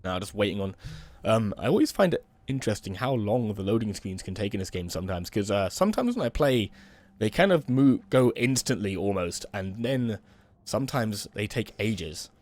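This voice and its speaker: A young man with a slightly hoarse voice from a cold, giving relaxed, rambling commentary while playing a building game. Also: fairly low pitch, normal speed. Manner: relaxed, rambling, many "um" and "kind of". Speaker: let's play host. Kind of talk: casual gameplay commentary.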